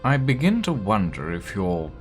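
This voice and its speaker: A man voicing a guarded, poetic young foreign woman in a text adventure game, with a measured, lilting delivery. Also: fairly low pitch, sing-song, soft background music. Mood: musing.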